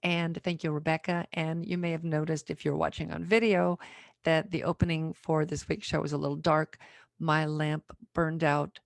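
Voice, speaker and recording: A woman's voice: deep voice, little pitch movement, normal speed, vocal fry, no room sound, studio quality. A middle-aged woman from the United States hosting a podcast in a casual, conversational interview style. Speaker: podcast host.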